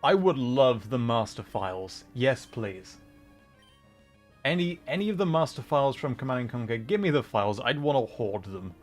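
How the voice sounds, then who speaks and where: A man hosting a gaming livestream, chatting casually and musing aloud in a halting way. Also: mid-range voice, lively intonation, soft background music. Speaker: livestream host.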